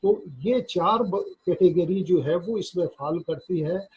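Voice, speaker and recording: A man with a Pakistani accent lecturing on law, reading formal text aloud and explaining it in a deliberate, halting way. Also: sits high, darkish tone, husky. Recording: dull, lo-fi recording.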